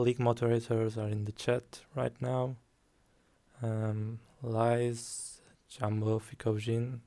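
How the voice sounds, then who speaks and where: A man streaming a card game, talking calmly and softly, with frequent ums. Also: mid-range voice, vocal fry. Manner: slow, calm, soft-spoken with frequent ums. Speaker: guest streamer. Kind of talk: casual game stream commentary.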